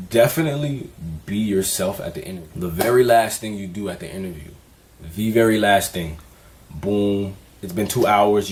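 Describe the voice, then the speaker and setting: A young man with a fairly low voice talks casually to camera, candid and easygoing, full of "you know" fillers. Faint noise sits behind him in the recording. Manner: relaxed, candid, conversational with frequent fillers. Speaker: vlogger. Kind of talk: casual vlog advice.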